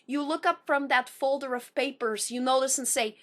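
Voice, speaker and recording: A woman in her thirties with slightly unusual speech, at times taken for a foreign accent, introducing and performing a spoken word poem with careful phrasing and pauses. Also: high voice, a bit bright. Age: 30s.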